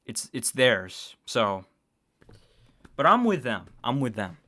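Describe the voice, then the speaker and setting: A man with a bright, forward voice at an average pitch talks casually on a livestream. He gives opinionated reaction commentary and is blunt about it, swearing freely. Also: sing-song, slow.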